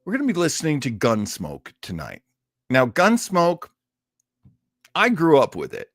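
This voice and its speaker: A man's voice: a little high, huge pitch swings, unhurried, dry recording, clean studio sound. A middle-aged man hosting an old-time radio livestream in a casual, chatty, rambling way, effusive and self-deprecating.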